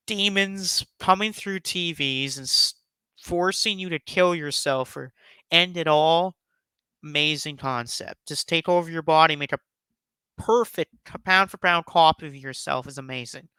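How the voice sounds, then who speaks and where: A man's voice: fairly high pitch, measured pace, sharp tone, clear, resonant tone, no echo. A man hosting a casual, enthusiastic countdown commentary, speaking in an emphatic, effusive and rambling way. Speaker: YouTube host giving a top ten countdown.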